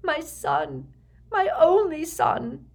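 A woman's voice: very high voice, huge pitch swings, measured pace, voice pushed up, exaggerated voice. A woman voicing a desperate, tearful aristocratic mother in an audiobook, pleading and sobbing. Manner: sobbing, beseeching. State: crying.

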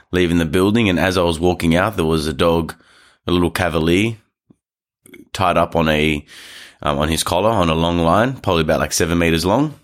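Deep-voiced nasal man telling a story. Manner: rambling, animated storytelling with restarts. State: hay fever stuffiness. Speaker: dog trainer podcast host. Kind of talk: casual advice talk. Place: studio.

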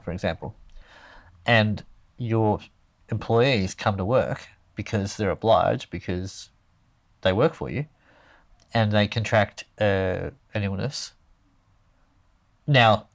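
A man's voice: fairly low pitch, dry recording. A man hosting a casual chat, rambling and thinking aloud with many restarts.

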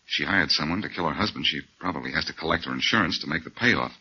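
A muffled old radio drama gives us a self-assured amateur sleuth. His voice is low and forceful, with very animated swings as he wisecracks in breezy 1940s style. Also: fast talker, slightly rough, full character voice. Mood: confident, scheming.

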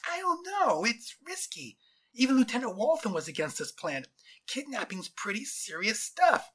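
Reluctant underling soldier, voiced by a narrator, pitched high, hesitant, protesting, tense storybook delivery. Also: big pitch swings, normal speed, a bit bright. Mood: worried. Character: smaller soldier, uneasy reluctant man with a conscience. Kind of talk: dramatized storybook character voice.

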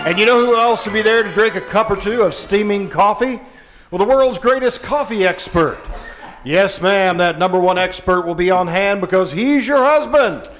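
A man's voice: high-pitched, fairly bright tone. A man playing a cheerful 1950s radio announcer, folksy in his sales patter and mock-dramatic in his storytelling. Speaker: announcer and narrator. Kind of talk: 1950s old-time radio announcing and sponsor pitch. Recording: music behind, live stage radio play.

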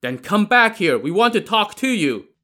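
A burly gang leader, as a male storyteller puts him on, bellows across the water in a high, strained, insistent voice. His shouting rises and falls in big, lively swings. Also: moderate pace, full character voice. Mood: pleading. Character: loud male leader of armed pursuers, pushy.

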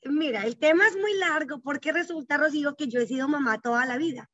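Bright-voiced woman telling her story earnestly. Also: sits high, brisk, no room sound. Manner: earnest, reflective, uses frequent tag questions. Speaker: guest, psychologist and bank executive. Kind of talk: personal storytelling in an interview. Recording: dull, dry, slightly lo-fi.

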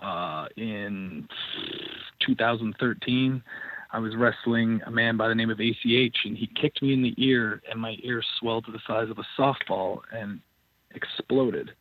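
A professional wrestler, a man, answering interview questions in a steady, matter-of-fact way. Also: lowish voice, normal speed. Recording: tinny dry phone line.

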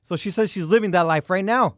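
Male podcast co-host, high voice, chatty, agreeable, dry close sound. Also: no echo. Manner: agreeable, chatty, quick to laugh. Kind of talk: casual podcast chat.

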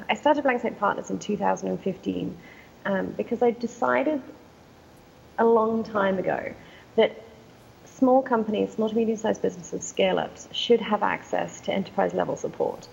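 English woman with a heavily gravelly voice. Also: mid-range voice, slight background noise, low-quality recording. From England. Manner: conversational, frequent ums, occasional laughs. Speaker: guest, company founder. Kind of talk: casual interview answers.

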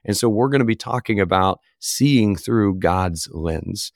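A man talking casually on a podcast, loose and easygoing. His voice sits on the low side and is still a bit hoarse, with the odd squeak. The sound is close and dry. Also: animated, a little slow, no room sound. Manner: easygoing, loose, lots of fillers. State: recovering from voice loss, occasional squeak. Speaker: podcast co-host.

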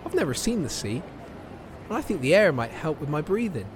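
An actor playing a heartbroken, resigned young homeless drug user in an audio drama, speaking in weary, understated broken phrases. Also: male voice, a little high, sing-song, a little fast, noisy background. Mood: weary, defiant. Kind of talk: intimate audio-drama monologue. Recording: music behind the voice.

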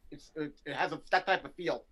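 Eager, bright-toned man talking music. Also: a little high, a little fast. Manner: eager, enthusiastic music fan. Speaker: podcast co-host. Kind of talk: casual chat.

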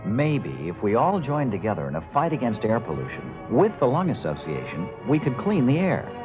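Man, public service announcement, lively intonation, dull recording, prominent music. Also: medium pitch, moderate pace. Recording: dull lo-fi radio spot over music.

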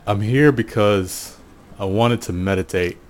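An American man giving a casual, reflective solo monologue in an unhurried, musing way, often pausing mid-sentence. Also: on the low side. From Portland, USA. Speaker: podcast host.

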